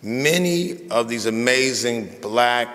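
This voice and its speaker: A raspy-voiced man in his fifties giving a rousing speech to an audience. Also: mid-range voice, animated, slow, fairly bright tone, long reverb tail. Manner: rousing, call-and-response energy. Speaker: convocation speaker.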